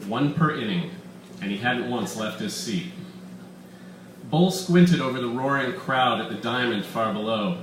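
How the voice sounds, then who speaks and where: A man reading his own story aloud with deliberate, dramatic emphasis at a live literary reading in an echoey bar room. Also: medium pitch, a bit bright. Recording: echoey room, distant mic, faint background noise.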